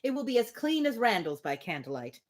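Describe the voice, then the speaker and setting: Lady reading an easygoing husband from an old novel, waves off every worry, brisk and reassuring. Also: fairly high pitch, sing-song. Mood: breezy. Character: breezy, dismissive husband from a period novel.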